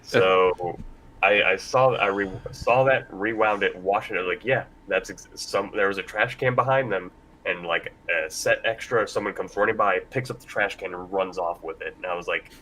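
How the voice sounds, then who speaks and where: A man moderating a casual live panel discussion, speaking in a halting, loosely rambling way. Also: sits low, pushed and bright, rough recording. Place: convention panel room. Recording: live panel with background noise.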